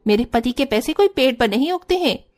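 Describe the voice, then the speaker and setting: Woman performing a spiteful sister-in-law, cartoon story, high voice, taunting, quarrelsome, haughty. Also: expressive, a little fast, darkish tone. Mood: scornful. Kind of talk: exaggerated Hindi cartoon story acting.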